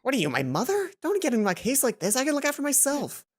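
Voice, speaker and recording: A woman's voice: high voice, huge pitch swings, quick pace, husky, voice pushed up, exaggerated voice. A woman voicing a lovesick, self-doubting schoolgirl heroine in a game, telling her story in a dramatic, introspective way over music. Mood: defensive. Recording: music behind the voice.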